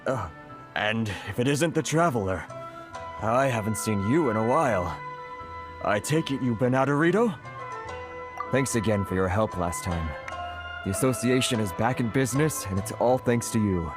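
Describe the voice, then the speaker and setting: A worried, courteous male merchant played by an actor talks in a medium-pitched voice that swings widely. He is hesitant and flustered, in game dialogue with music clearly behind him. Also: expressive, moderate pace, long pauses, lightly acted. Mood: polite, grateful. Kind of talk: scripted video game dialogue.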